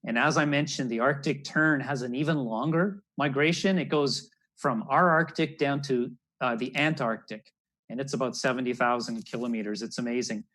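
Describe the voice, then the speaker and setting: A man's voice: mid-range voice, moderate pace, fairly bright tone. Man explaining nature to children. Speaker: naturalist, storyteller. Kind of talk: educational talk for children. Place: room at home, indoors. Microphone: wired earbuds on a video call.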